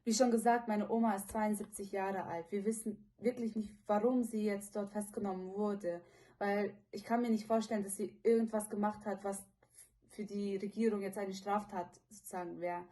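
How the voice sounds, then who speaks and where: Mellow-voiced earnest young woman. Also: mid-range voice, steady tone. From from China, living in Germany since childhood. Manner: earnest, halting, informal youthful phrasing. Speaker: witness giving testimony about a detained relative. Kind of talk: personal video testimony, unscripted.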